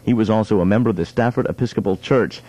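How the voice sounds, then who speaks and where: A man's voice: fairly low pitch, fast, a little mellow, no room sound, low-quality recording. A young man reading a scripted local radio news bulletin, now and then stumbling over his words. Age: young adult. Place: radio studio.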